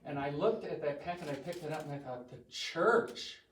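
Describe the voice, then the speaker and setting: A man's voice: fairly high pitch, normal speed, distant mic, crowd noise. Big-voiced older man in an echoey hall. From US Midwest. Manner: chatty and self-joking, with animated quoting. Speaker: guest speaker. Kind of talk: storytelling talk to a congregation. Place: church hall.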